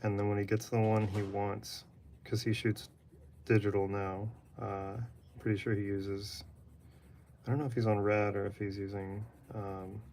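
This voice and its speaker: Low mellow guy, kinda gravelly, flat monotone rambling chat, faint noise in the room. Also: normal speed. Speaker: artist drawing live. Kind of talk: casual chat while drawing. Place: apartment room.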